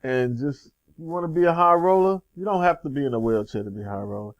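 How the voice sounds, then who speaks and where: A man with a gravelly edge to his voice hosts a casual interview show. He talks in an upbeat, loose and rambling way, plugging things as he goes. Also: on the high side, lively intonation, quick pace, highs cut off. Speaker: show host.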